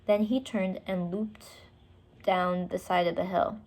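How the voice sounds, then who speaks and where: A woman's voice: lowish voice, fairly even intonation, measured pace, darkish tone. A woman storyteller narrating a children's book, with short explanatory asides for young listeners.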